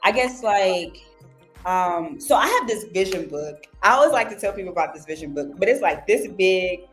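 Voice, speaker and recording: A woman's voice: mid-range voice, lively intonation, normal speed, soft background music. A young woman guest on a casual podcast, talking animatedly and acting out her own self-talk. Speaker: guest journalist.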